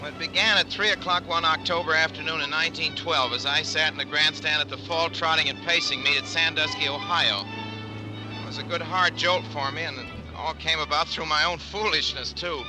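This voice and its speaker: An actor playing a naive young American horse-racing hand, reminiscing in folksy 1940s radio drama style. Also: male voice, a little high, fairly even intonation, a little fast, lightly acted, poor audio. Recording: old radio broadcast with music.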